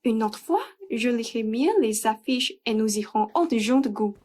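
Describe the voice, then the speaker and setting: A woman's voice: fairly high pitch, a little slow, noisy background, lightly acted. A young woman playing an imperious, dramatic rich lady of the house in a French radio play, haughty and exclamatory. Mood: dismissive. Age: young adult. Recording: music behind the voice.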